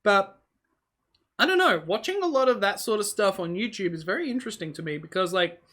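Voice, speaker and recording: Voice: mid-range voice, animated, brisk, a bit bright. A vlogger whose first language is Hungarian, talking casually to camera while snacking, musing aloud and wandering between thoughts with lots of fillers and self-corrections. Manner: talks while eating on camera. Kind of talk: casual rambling vlog.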